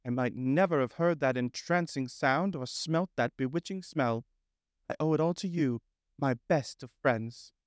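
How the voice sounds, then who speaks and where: A man voicing a vain, excitable male toad gentleman, speaking in a dreamy, drawn-out way. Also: medium pitch, no echo, studio quality, lightly acted. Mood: dreamy rapture.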